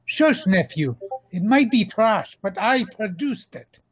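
A man's voice: sits high, very animated, takes it slowly, husky, recorded from a distance, exaggerated voice. A man voicing a bossy, crusty rich old uncle duck in a comic dub. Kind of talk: live comic dub acting.

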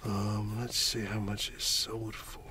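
Man, lowish voice, soft-spoken ASMR with whispering, slow and halting, chummy one-to-one murmur, noisy recording. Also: completely flat delivery. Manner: unhurried, halting, murmuring to a listener as a friend. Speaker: ASMR creator.

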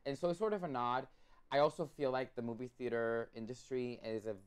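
A man's voice: medium pitch, fairly quick, clear, resonant tone. A young man from Puerto Rico, a documentary filmmaker, chatting eagerly on a video call with lots of restarts and fillers. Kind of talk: casual interview chat. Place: home room full of collectibles. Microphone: video call webcam.

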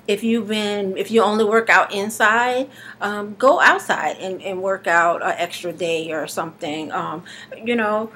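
Woman, 30s, congested, slightly hoarse, halting with fillers, webcam in living room, faint room noise. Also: mid-range voice, a bit bright. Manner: halting, many fillers and restarts. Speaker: vlogger. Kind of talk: casual video diary. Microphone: webcam at arm's length.

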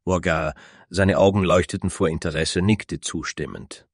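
Deep-voiced man narrating a story. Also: steady tone, normal speed, dry recording, clean studio sound. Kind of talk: scripted fantasy story narration.

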